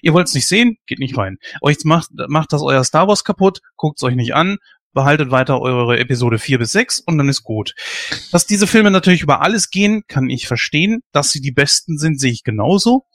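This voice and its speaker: A man's voice: on the high side, expressive, brisk, a bit bright, no room sound, clean studio sound. A man in his late thirties hosting a casual discussion, giving strong opinions emphatically and working himself up into a rant. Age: 30s.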